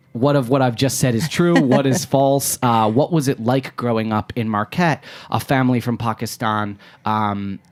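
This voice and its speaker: A man's voice: mid-range voice, dry recording. A man hosting an interview show, asking long, rambling questions with hesitations. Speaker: podcast host.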